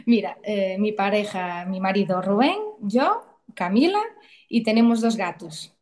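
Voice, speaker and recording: A woman's voice: mid-range voice, lively intonation, unhurried, darkish tone. A woman in her thirties, originally from Portugal, speaking. She tells her story in a chatty, emotional way and often restarts her sentences, as a guest in a casual interview.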